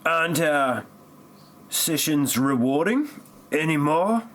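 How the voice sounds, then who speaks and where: A man playing an insistent doctor who speaks in halting, broken phrases in an amateur parody audio drama. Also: fairly high pitch, expressive, fairly bright tone. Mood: coaxing.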